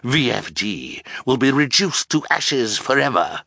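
A man's voice: fairly low pitch, sing-song, slow, fairly bright tone, dry recording, studio quality, heavily acted. A man voicing a gloating, menacing male villain in an audiobook, theatrical and sneering. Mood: gloating, crowing. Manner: cackling, crowing, menacing.

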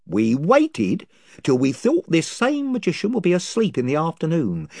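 A man's voice: high voice, darkish tone, no echo, clean studio sound, pitched above the performer's own voice, exaggerated voice. A man voicing a self-important chief of invisible creatures who rambles and loses his thread. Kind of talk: audiobook character voice.

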